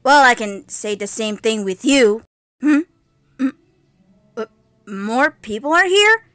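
Cartoon fairy warrior princess, played by a woman: high, swooping pitch, sassy, bold yet wary, dramatic delivery. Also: big pitch swings, slow pace, a bit bright, dry recording, studio quality, exaggerated voice. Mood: puzzled. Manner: dramatic, sassy, hesitant stammers. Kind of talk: amateur cartoon character voice lines.

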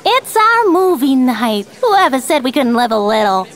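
An actress playing a playful, tipsy 1950s housewife in a retro radio drama, teasing and drawling. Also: female voice, high-pitched, very animated, moderate pace, some chatter behind.

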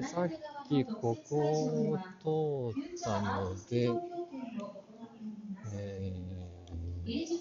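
Slow-paced, muffled man amid crowd murmur. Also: mid-range voice. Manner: talks half to himself in short fragments. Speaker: vlog host touring an art fair. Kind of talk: casual walk-through narration with muttered asides. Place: large crowded indoor exhibition hall. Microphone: handheld camera at arm's length. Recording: dull sound in a busy hall.

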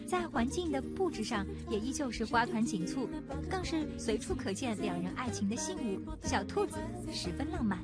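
A woman's voice: fairly high pitch, very animated, moderate pace. A woman reporter reading scripted entertainment news narration. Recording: loud music under voice. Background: loud music.

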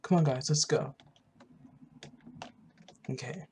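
A man's voice: a little high, slow, darkish tone, slight background noise. A man doing casual roleplay narration over his gameplay as the player character. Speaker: gaming roleplay video maker.

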